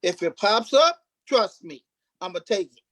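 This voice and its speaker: A man's voice: high voice, sing-song, measured pace, a bit bright, no echo. A man, an actor, talking casually in a panel conversation, emphatic and repeating himself to drive points home.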